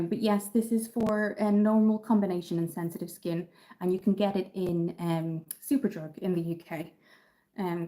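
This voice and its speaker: A woman's voice: fairly low pitch, warm tone. A woman from Dublin chatting casually on a beauty livestream, upbeat and full of ums as she answers viewer comments. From Ireland, Dublin. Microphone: phone camera.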